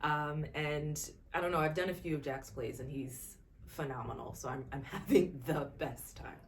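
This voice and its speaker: Female actor in informal interview mode, low register, slight noise behind. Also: fairly even intonation, normal speed, recorded from a distance. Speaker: actress in an audio drama. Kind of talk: casual interview and studio chat.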